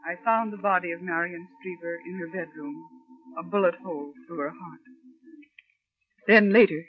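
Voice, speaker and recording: An actress playing an anxious, loyal secretary with intense melodrama in an old-time radio mystery. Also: female voice, lowish voice, moderate pace, close to an everyday voice. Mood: grave. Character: anxious, loyal female secretary, pleading and dread-filled. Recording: dull old radio recording with music.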